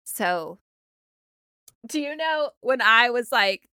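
A woman's voice: on the high side, lively intonation, takes it slowly, bright, forceful tone, clean tone, no room sound, clean studio sound. An American woman co-hosting a podcast in casual, conversational chat. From United States. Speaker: podcast co-host.

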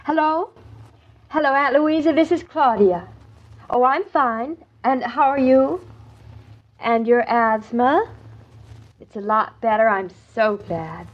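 A high, girlish young wife, chattering excitably with a naive, scheming streak. The performance is old-time radio comedy-drama, and the recording is dull and lo-fi. She sounds eager and impulsive. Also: slow, long pauses. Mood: gushingly polite. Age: young adult.

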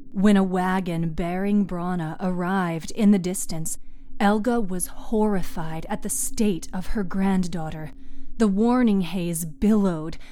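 A woman narrating a scripted fantasy story in a measured, dramatic way, with hushed phrase endings. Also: medium pitch, a little hiss or hum. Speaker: podcast host and storyteller.

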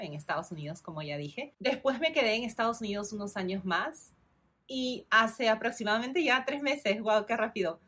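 A woman's voice: mid-range voice, a bit bright. A woman in her thirties from Peru with a Peruvian Spanish accent, hosting a podcast and explaining a process in a friendly, step-by-step way. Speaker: podcast host. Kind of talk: informative solo podcast.

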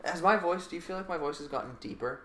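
A man's voice: a little high, fast talker, fairly bright tone. Echoey casual young man, swearing freely. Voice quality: voice cracks now and then. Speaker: podcast host. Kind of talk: casual profane banter with a friend. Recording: echoey room with faint background noise.

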